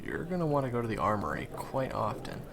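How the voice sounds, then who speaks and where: A man's voice: medium pitch, very animated, fast talker, creaky, a crowd in the background. A man acting in an audio roleplay as a friendly, awkward, eager young guide to monster hunters, talking hesitantly and stammering to the listener. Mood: helpful, explaining.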